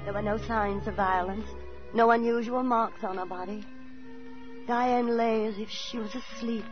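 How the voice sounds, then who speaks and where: An actress playing a prim, motherly middle-aged landlady who tells her story in measured 1940s radio drama style. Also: fairly high pitch, fairly even intonation, lightly acted. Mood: somber, confiding. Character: Miss Leonora Plim, prim motherly boarding-house landlady telling her story. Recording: muffled 1940s radio broadcast, faint music.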